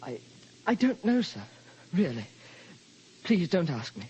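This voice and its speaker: An actor playing a timid, lonely English schoolboy, hesitant and deferential, in an old radio drama. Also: male voice, sits high, sing-song, a little slow, darkish tone, breathy edge, highs cut off, heavily acted. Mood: frightened, evasive. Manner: hesitant, stammering starts, deferential.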